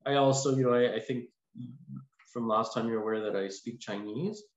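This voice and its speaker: A man in his forties speaking casually and haltingly as an interview guest over a video call. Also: mid-range voice. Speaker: podcast guest, lawyer. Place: small indoor room, video call. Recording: echoey, muffled video call with noise.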